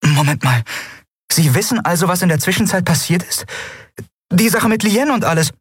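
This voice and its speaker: A man's voice: high-pitched, animated, normal speed, a bit bright, slightly rough, dry recording, studio quality, voice pushed up, exaggerated voice. A man voicing a feisty, impatient young woman captain in an audiobook, blunt, emphatic and given to cursing. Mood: playful, curious. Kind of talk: audiobook character voice.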